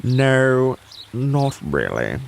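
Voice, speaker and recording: A man voicing a sly, dry fox in a children's audio story, with an unhurried, sardonic drawl. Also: mid-range voice, wildly swooping pitch, gravelly, a little hiss or hum, heavily acted. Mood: feigned indifference. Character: sly, dry, patient male fox feigning indifference.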